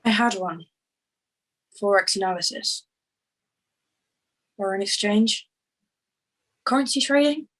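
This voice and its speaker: Actress doing a stressed exasperated woman in a crime script read, anxious and snappy, medium pitch. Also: expressive, takes it slowly, long pauses, rough recording. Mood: bitter, weary. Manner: sharp-tongued, exasperated, swearing. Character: anxious, impatient woman whose partner was abducted. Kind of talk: screenplay table read acting.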